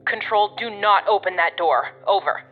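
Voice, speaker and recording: An actress playing a gruff, exasperated but protective woman guiding a colleague over the radio in an audio drama, bossy and sardonic. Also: mid-range voice, moderate pace, phone-quality sound, slight background noise. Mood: alarmed, exasperated.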